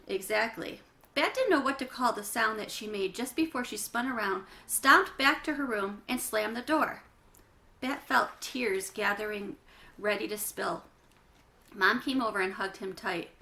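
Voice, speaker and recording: A woman's voice: medium pitch, bright, forceful tone. A woman reading a children's book aloud, narrating with chatty asides to young listeners. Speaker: children's read-aloud reader.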